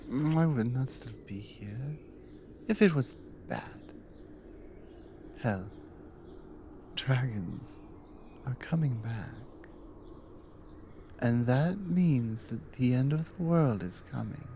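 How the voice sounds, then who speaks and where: A woman playing a devoted, dominant Nordic warrior wife in an intimate ASMR audio roleplay, speaking softly and earnestly, often dropping to a whisper. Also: very deep voice, a little hiss or hum, heavily acted. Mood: gentle, sincere. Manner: soft, hushed, often breathy whispering, slow and earnest.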